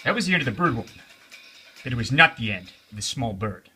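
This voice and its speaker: A man's voice: medium pitch, sing-song, fast, sharp tone, soft background music. A man telling a children's bedtime story in a theatrical, emphatic way, repeating phrases for suspense.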